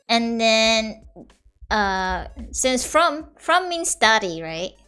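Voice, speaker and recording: A woman's voice: fairly high pitch, very animated, very slow, sharp tone, clean tone, soft background music. A woman from Indonesia, casually teaching her own language on a livestream, giggling and apologizing as she explains step by step.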